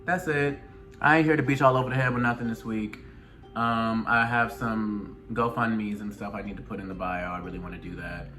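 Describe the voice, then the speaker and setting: A man's voice: fairly low pitch, little pitch movement, a little fast, bright, forceful tone, faint music behind. A man from Atlanta with a Southern US accent speaks earnestly and emphatically to his viewers in a personal commentary, repeating phrases to drive them home. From Atlanta, Georgia, United States. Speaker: video blogger, show host.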